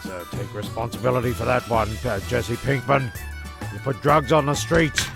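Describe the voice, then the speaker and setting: Man, heavy vocal fry, sweary comedy narrator, halting and repetitive delivery, music playing behind. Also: mid-range voice. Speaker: narrator and host of a comedy radio play. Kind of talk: sweary scripted comedy banter and recap.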